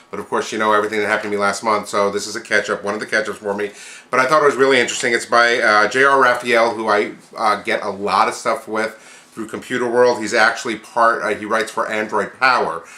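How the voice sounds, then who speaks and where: A man's voice: on the low side, steady tone, brisk, bright, forceful tone, reverberant. A man hosting a tech news podcast, running through items in an informal, chatty, conversational way with casual commentary.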